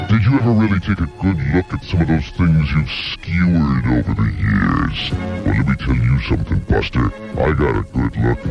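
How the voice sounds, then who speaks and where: A man voicing a bitter, grumbling talking tongue who lectures its owner with deep resentment in a gravelly voice. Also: exaggerated voice. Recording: dull lo-fi radio recording with music.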